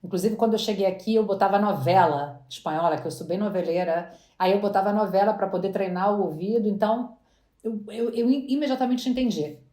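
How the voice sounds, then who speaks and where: A woman's voice: medium pitch, a little fast, mellow. A woman, chatting as an interview guest and often restarting her words. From Brazil. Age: 40s. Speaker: guest entrepreneur. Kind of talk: casual interview chat. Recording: roomy echo.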